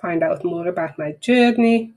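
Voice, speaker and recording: A woman's voice: fairly low pitch, moderate pace, mellow. A woman with a Hungarian accent, a native Hungarian speaker, closing a marketing webinar in a chatty, rambling, frank way. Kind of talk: casual webinar wrap-up talk.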